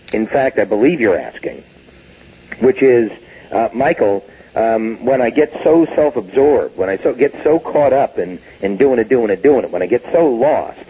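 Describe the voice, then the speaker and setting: A man's voice: mid-range voice, normal speed, breathy edge, muffled recording. A man in his sixties, a business guest talking emphatically in a recorded interview, acting out quotes as he goes. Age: 60s. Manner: emphatic, animated storytelling with acted quotes.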